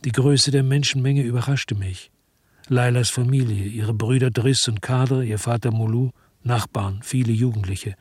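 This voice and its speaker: An actor playing a disillusioned, guilt-ridden policeman who narrates a radio drama in a brooding, laconic way with a husky voice. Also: medium pitch, steady tone, normal speed, dry recording, close to an everyday voice. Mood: brooding regret. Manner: brooding, understated. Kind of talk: radio drama first-person narration and dialogue.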